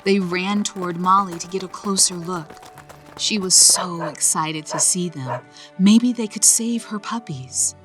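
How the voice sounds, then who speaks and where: A woman narrator reading a children's story in a gentle, expressive storytelling manner. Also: lowish voice, unhurried, music in the background.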